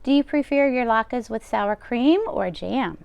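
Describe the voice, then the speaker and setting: A woman's voice: fairly high pitch, unhurried, vocal fry, smooth, dull audio, voice pushed up. A woman voicing a kindly, fussing grandmother of ninety-seven who is hard of hearing, in a read-aloud storybook. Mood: chatty. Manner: cheerful, fussing hostess.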